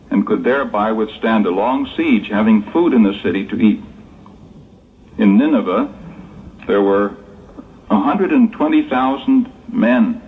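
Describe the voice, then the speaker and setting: On a narrow, phone-like old tape with faint noise, a man narrates a religious drama. His voice is medium-pitched, and he explains in a measured, scripted way. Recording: muffled, phone-like, noisy 1960s recording.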